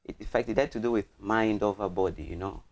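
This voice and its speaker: A man's voice: lowish voice, quick pace, darkish tone. A young man from Congo, a student guest telling anecdotes casually in a playful, joking way. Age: young adult. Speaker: student guest on a talk show. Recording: clearly audible background noise.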